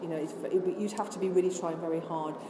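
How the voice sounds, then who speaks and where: An older woman from Scotland, now with no trace of an accent, chats earnestly with a slightly low voice and lists things off with plenty of restarts. The room rings with echo, and steady noise sits under her. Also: quick pace. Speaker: interviewee, vegan charity worker. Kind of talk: casual interview answers.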